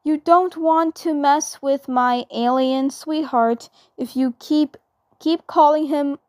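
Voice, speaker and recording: A woman's voice: high-pitched, fairly even intonation, slow, a little mellow, pitched above the performer's own voice. A woman voicing a flustered, feisty, lovestruck teenage sister in a fan dub, repeating phrases emphatically. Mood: protective warning.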